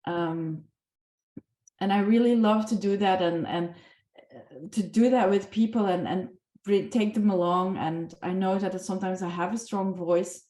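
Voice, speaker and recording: An earnest woman shares her experience in a group conversation, often restarting her sentences. Her voice is mellow and warm, and it rings with the echo of a bare room. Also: lowish voice. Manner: earnest, halting, with frequent restarts. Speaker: participant in a group discussion. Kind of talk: reflective group discussion. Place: video call. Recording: echoey room.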